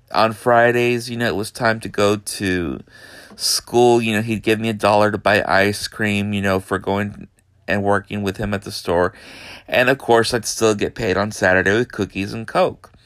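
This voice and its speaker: A man from Texas, hosting a podcast from his bedroom in an unhurried, hesitant way with lots of fillers. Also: fairly low pitch, fairly bright tone, no room sound, studio quality. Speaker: podcast host. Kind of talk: casual monologue.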